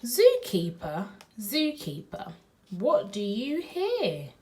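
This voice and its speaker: Very slow, sing-song woman reading aloud. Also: on the high side, huge pitch swings, darkish tone, a little hiss or hum. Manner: sing-song, drawn-out delivery for small children. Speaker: children's read-aloud host. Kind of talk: expressive picture-book read-aloud.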